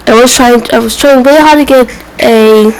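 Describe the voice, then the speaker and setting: A woman giving casual gameplay commentary in a hesitant, halting, apologetic way, with a bit of a cough. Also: on the high side, poor audio. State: has a cough. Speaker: gaming video creator. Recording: faint background noise.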